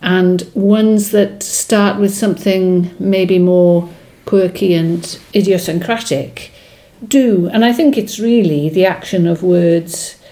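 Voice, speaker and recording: A woman's voice: lowish voice, steady tone, slow, hardly any pauses, dark tone. A woman poet whose first language is Welsh, speaking thoughtfully in an interview, with hesitations and restarts. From Wales. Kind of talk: reflective conversational interview.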